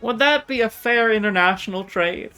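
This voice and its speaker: A woman's voice: fairly high pitch, normal speed, bright, forceful tone, smooth, faint music behind. A woman voicing a timid, earnest and gullible young male student in a game read aloud. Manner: hesitant, unsure. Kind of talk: visual novel character read aloud.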